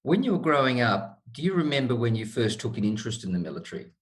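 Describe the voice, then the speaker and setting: A man's voice: mid-range voice, very animated, quick pace. A man interviewing a guest, asking friendly, conversational questions.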